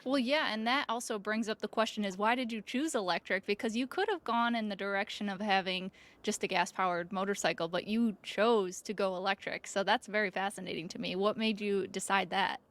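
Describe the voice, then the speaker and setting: A woman's voice: medium pitch, fairly quick, hardly any pauses, fairly bright tone. A woman hosting a video channel, introducing a guest and asking interview questions in a presenter's style. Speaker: host, video reviewer.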